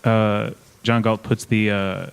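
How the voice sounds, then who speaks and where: Lowish-voiced man playing a tabletop game. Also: level tone, slow, vocal fry, clear, resonant tone. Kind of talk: casual improvised game narration.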